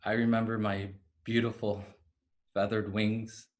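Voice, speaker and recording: Man playing a slow, wistful fallen fairy. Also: on the low side, little pitch movement, close to an everyday voice. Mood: wistful, tender. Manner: slow, lyrical, deliberate delivery. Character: fallen winged healing fairy, tender, wistful, insistent. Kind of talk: staged play reading, dramatic monologue. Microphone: video call. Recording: remote video-call recording.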